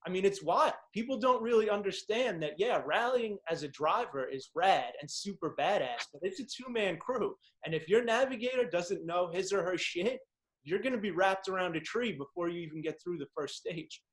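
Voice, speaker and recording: A man's voice: high-pitched, lively intonation, moderate pace, a bit bright, low-quality recording. A man whose first language is Czech, hosting a casual interview and telling stories with enthusiastic, slangy energy. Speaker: podcast host.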